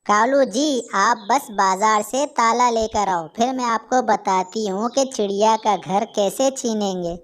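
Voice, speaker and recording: A high-pitched female bird from a children's moral-story cartoon, acted by a woman. She speaks as a talking storybook character in a cartoon dub. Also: measured pace, hardly any pauses, natural voice. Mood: scheming. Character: female birds: gentle little sparrow and scheming crow.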